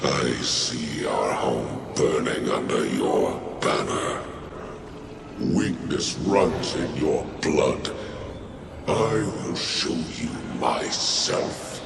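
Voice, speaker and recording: A massive, brutal alien warlord from a video game. The voice is extremely low and heavily gravelled, slow and imperious, dripping with contempt. It echoes from a distance under loud noise. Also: male voice, exaggerated voice. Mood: scornful anger. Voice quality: very deep, gravelly. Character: huge brutal alien warlord, a menacing phantom, proud and scornful. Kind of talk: dramatic video game voice acting.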